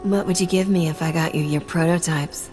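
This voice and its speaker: A woman's voice: low voice, fairly even intonation, a little fast, long reverb tail, music in the background, lightly acted. An actress playing a cocky female smuggler captain in a video game, dry, wry and blunt. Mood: teasing, cool. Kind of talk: video game dialogue acting.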